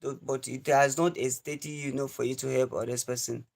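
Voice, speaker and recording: Young Nigerian man, twenties, livestream host, earnest and preachy, repeats his points, mid-pitched voice. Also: fairly even intonation, a little fast, vocal fry. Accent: Nigerian English. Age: 20s. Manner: earnest, repetitive, lecturing. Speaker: live stream host.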